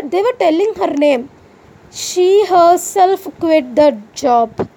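High, gravelly-voiced indignant Indian woman. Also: warm tone, rough recording. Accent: Indian English. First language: Indian language other than Hindi. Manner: impassioned, indignant venting with rhetorical questions. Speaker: podcaster. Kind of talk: personal monologue to the audience.

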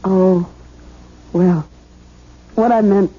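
An actress playing a chatty, high-strung young newlywed bride in an emotive, theatrical radio mystery style. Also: female voice, fairly even intonation, drawn-out words, breathy edge. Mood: affectionate chatter. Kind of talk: 1970s radio mystery acting. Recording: dull, lo-fi old radio recording.

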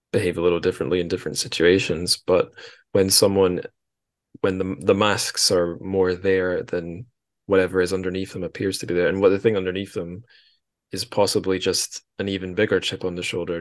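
A man hosting a casual interview show, thinking aloud in a halting way with wry asides. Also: deep voice. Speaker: podcast host, interviewer.

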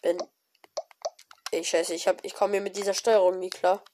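A woman with a cold, giving casual, excited commentary on her own gameplay with many fillers and exclamations. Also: fairly low pitch, moderate pace, a little hiss or hum. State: has a cold. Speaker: gaming video creator. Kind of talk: casual let's-play commentary.

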